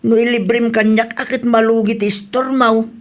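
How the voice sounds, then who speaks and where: A man's voice: very high voice, level tone, normal speed. Man acting humble old Ilocano scrap collector. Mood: humble, grateful. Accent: Filipino, Ilocano. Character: humble old husband, poor scrap collector, mild and grateful. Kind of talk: radio drama acting in a comedy-drama.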